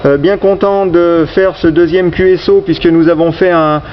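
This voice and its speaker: A man working as an amateur radio operator, chatting with call signs over the radio in a hesitant, halting way that repeats words. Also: high-pitched, steady tone. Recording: dull, noisy radio recording.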